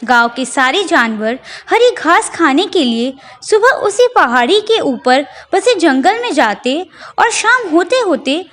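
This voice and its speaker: Lady storyteller for kids, slow and deliberate, lots of up and down in her pitch. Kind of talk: children's bedtime story narration.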